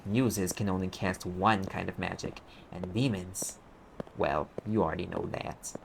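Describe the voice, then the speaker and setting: A man's voice: deep voice, fairly even intonation, unhurried, creaky, a little hiss or hum, rough recording. A man playing a sarcastic, impatient private eye mage in an audio roleplay, explaining things in a conversational, exasperated way. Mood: lecturing, sardonic. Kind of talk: audio roleplay acting, one side of a dialogue.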